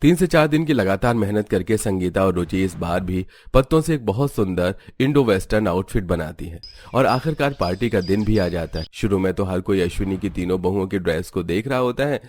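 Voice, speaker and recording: A man's voice: fairly low pitch, darkish tone. A man reading scripted narration for a cartoon story as its storyteller.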